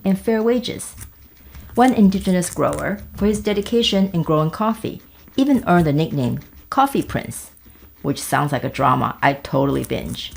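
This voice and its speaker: A woman in her fifties from Taiwan, narrating a scripted personal video essay with wry asides. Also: lowish voice. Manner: wry, conversational, with asides and jokes.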